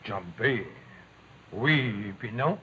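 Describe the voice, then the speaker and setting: A man's voice: fairly low pitch, lively intonation, extremely slow. A man playing a humbled, plain-spoken woodsman with a Quebec French accent, terse and hesitant, in 1950s television acting. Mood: sheepish. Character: big humbled woodsman, reformed drinker, pleading father. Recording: muffled, faintly noisy 1950s soundtrack.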